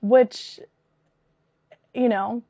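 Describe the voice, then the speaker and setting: A woman in her thirties with a cold, hosting her podcast with an earnest, animated manner and a hoarse, raspy voice. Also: on the high side, very slow, no echo. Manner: earnest, animated, with frequent fillers and restarts. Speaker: podcast host and spiritual business coach. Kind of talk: casual spoken monologue.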